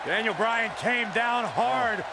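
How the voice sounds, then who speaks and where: Raised, high male colour commentator amid crowd roar. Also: slow pace. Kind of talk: sports commentary in a wrestling video game. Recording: loud crowd roar and arena noise. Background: roaring crowd and ring slams.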